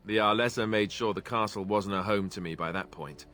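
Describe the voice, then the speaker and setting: An actor playing a wry, self-deprecating young male warrior in a video game, sarcastic and quick to deflect with jokes. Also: on the low side, pushed and bright. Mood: reflective. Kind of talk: video game character dialogue.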